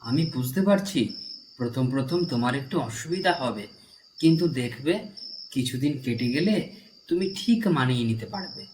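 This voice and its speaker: A man playing a kind, reassuring young husband in a cartoon folk tale, speaking gently. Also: medium pitch, expressive, moderate pace, slight background noise, close to an everyday voice, rough recording.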